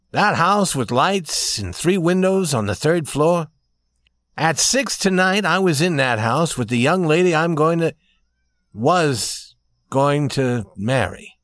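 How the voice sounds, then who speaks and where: Man playing a troubled young gentleman, animated and high. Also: dry recording, clean studio sound. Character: troubled young gentleman, bitter and wry, losing hope.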